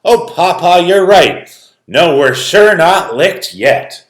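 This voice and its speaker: Man voicing a high-pitched, dramatic little farm girl. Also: animated, takes it slowly. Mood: plucky. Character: frightened young farm girl, plucky and musical. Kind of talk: storybook read-aloud character voice. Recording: roomy, echoing sound.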